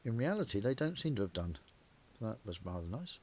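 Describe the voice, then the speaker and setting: An older man with a croaky, sore-throated voice, talking through a motorcycle video at a measured pace from his garage. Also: fairly low pitch, sing-song. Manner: measured, gentle, with frequent pauses and fillers. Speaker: motorcycle vlogger. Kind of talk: casual narrated walkaround. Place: indoor garage.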